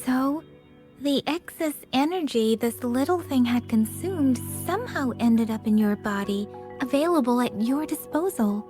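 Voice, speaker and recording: A high-pitched, helpful young woman from a video game. She explains things patiently and in measured phrases, with soft music playing underneath. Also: lively intonation. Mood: patient, explaining.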